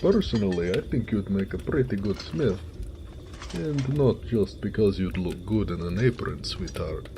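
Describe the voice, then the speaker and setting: Guy doing a gentle orc blacksmith, kinda low relaxed voice, tender n teasing, romance audio roleplay, bit of background noise. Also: unhurried, gravelly. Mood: warm, encouraging. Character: gentle, affectionate male orc blacksmith, homebody.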